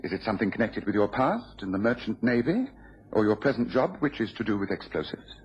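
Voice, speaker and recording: An actor playing a restless, analytical gentleman detective in a radio drama, theatrical and precise. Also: on the low side, lively intonation, a little fast, close to an everyday voice. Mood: courteous, probing. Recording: dull lo-fi radio recording, faint noise.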